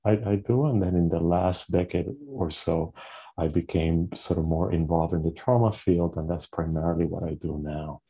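A man's voice: sits low, moderate pace, mellow, husky. A middle-aged man from Puerto Rico, a clinical social worker being interviewed, who speaks thoughtfully and often restarts his phrases. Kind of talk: conversational interview.

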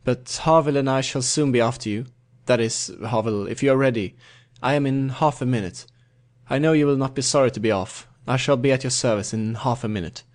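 A man doing a hurried, clipped naval captain. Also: medium pitch, a little mellow, natural voice. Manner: quick and clipped.

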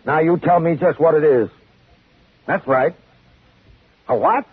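Flustered desk sergeant, exasperated, real raspy, dry tinny old radio. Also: male voice, a little high, a little slow, dry recording, heavily acted. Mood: brisk, incredulous. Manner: exasperated, questioning. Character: flustered, impatient male desk police sergeant. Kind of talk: 1950s radio drama acting.

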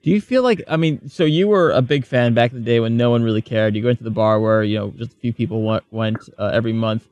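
Fast-talking man, dry close sound. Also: mid-range voice, a little mellow, no echo. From United States. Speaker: podcast host. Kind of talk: casual interview chat.

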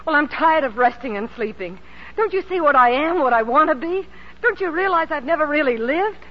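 A woman's voice: high voice, lively intonation, fairly quick. A woman acting a strong-willed, emotive female musician in an old radio drama. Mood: distressed, defiant. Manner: theatrical, emotive. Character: strong-willed ailing female concert pianist, urgent and defiant. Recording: muffled, noisy 1940s radio recording.

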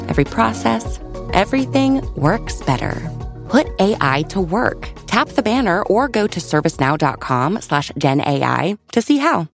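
Gravelly woman in a polished ad read. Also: mid-range voice. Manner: polished, upbeat. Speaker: ad voice-over. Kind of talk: scripted commercial read. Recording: music bed behind voice.

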